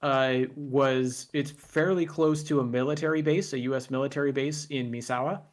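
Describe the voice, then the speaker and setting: Relaxed man telling a story. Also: medium pitch, moderate pace. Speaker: guest YouTuber. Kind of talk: casual conversation.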